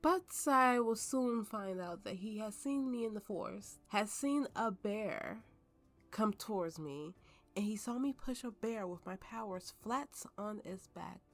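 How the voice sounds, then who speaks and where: A woman playing a tipsy, haunted woman with magical powers, telling her story slowly and haltingly in an intimate ASMR roleplay over music. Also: mid-range voice. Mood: tipsy reminiscing. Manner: halting, drunken rambling with frequent laughs. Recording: voice over soft background music.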